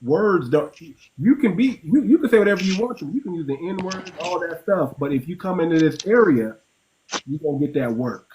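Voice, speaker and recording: Male host, slightly raised pitch, emphatic, casual panel talk, faint hiss. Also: expressive, moderate pace, a little mellow, poor audio. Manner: emphatic, repeats words while building a point.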